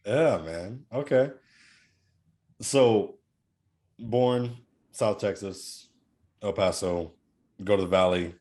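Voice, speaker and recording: A man's voice: on the low side, slow, long pauses, a little mellow. A man in his twenties hosting a podcast interview, easygoing, with short affirmations and casual slang. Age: 20s. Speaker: podcast host.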